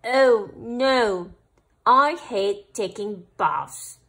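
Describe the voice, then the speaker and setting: A woman voicing a grumpy, excitable little boy dragon in a storybook, exclaiming and growling with drawn-out words. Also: a little high, exaggerated voice.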